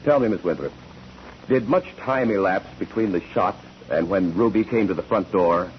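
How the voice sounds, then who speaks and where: A man's voice: low voice, normal speed, natural voice. An actor playing a methodical male courtroom examiner in 1940s radio style, questioning in a formal, probing way. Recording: dull, noisy 1940s radio recording.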